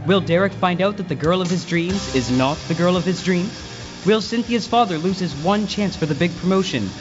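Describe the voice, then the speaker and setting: A man's voice: high voice, normal speed, lo-fi sound, music in the background, lightly acted. A man playing the storyteller, reading scripted narration for a Christmas radio play. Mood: suspenseful storytelling.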